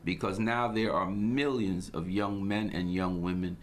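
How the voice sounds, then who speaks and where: Deep-voiced man from Guyana, preacherly. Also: steady tone. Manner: measured, preacherly cadence with scripture quotes. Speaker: host. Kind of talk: inspirational broadcast talk.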